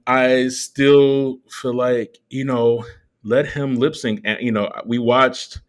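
A man co-hosting a casual film commentary, talking in a measured, halting way as he thinks aloud. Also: medium pitch, steady tone. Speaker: podcast co-host.

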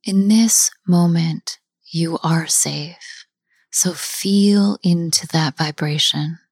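A woman guiding a chakra body scan meditation, speaking softly and often half-whispering, with long pauses. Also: low-pitched, husky, no echo, clean studio sound. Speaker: meditation guide. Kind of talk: guided meditation.

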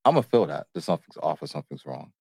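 Male American co-host, low register, dry close sound, easygoing but firm, circling back over his phrase openings in loose conversation. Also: sing-song, very fast, gravelly, a little mellow, breathy edge, dry recording. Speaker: co-host of a couple's relationship show. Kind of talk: casual, unscripted relationship talk.

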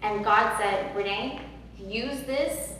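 Interview guest, woman, loud and heartfelt; roomy, distant sound. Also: medium pitch, slow pace. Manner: earnest, emotional, many fillers and repeats. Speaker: podcast guest. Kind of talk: personal storytelling in an interview. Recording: echoey hall from far off, faint noise.

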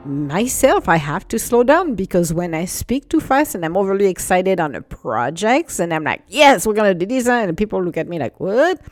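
A woman with a non-native English accent hosting a casual solo podcast, animated and laughing often, speeding up when excited. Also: mid-range voice, normal speed, few pauses, no room sound, faint music behind. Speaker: podcast host.

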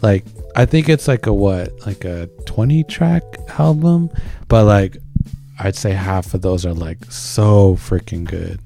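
A man's voice: low voice, unhurried, gravelly, dark tone. A man co-hosting a music show, chatting informally and enthusiastically in slangy language as he introduces songs. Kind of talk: casual chat introducing songs.

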